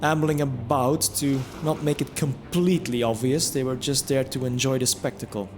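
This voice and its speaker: A man's voice: medium pitch, fairly even intonation, moderate pace, background noise. A man narrating a scripted fantasy audio drama in the first person, reflective, with wry asides. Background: music under the voice.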